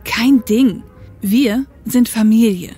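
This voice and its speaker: A woman's voice: on the high side, takes it slowly, dark tone, soft background music. A woman playing a tough, upright, street-wise policewoman in an audio drama, speaking matter-of-factly. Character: tough, upright working-class policewoman and ex-biker, grown woman. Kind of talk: German audio drama acting.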